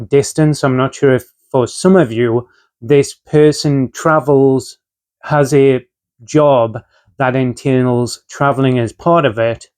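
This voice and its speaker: A young man with a medium-pitched voice, still rough and slightly off from a chest infection, talks through a tarot reading. He speaks in a relaxed, chatty way, drifting through ums and uhs as he lays out each card. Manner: relaxed and conversational, frequent filler ums and uhs. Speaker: tarot reader. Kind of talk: casual tarot reading to camera.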